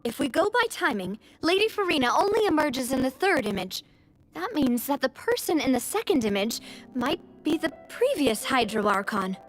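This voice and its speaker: Game role: young female investigator, high voice, earnest, polite, inquisitive, light music underneath. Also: very animated, moderate pace, poor audio. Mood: reasoning. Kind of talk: video game voice acting.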